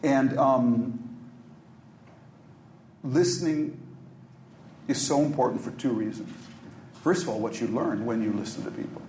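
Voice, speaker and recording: A man from Minneapolis speaks on a panel in a hotel conference room, thinking aloud with plenty of ums and restarts. The room is echoey, with a faint hum of noise behind him. His pitch sits in a middling range. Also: a little mellow. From Minneapolis, USA. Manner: thoughtful, halting, many ums and restarts. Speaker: journalist, panelist.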